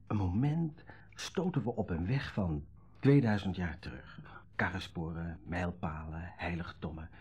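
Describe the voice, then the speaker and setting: An actor playing a thoughtful, modest young man with a quietly philosophical temper in a radio play. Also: low-pitched, breathy edge, exaggerated voice. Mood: reflective. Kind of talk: Dutch radio play acting, naturalistic dialogue. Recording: dull old radio recording.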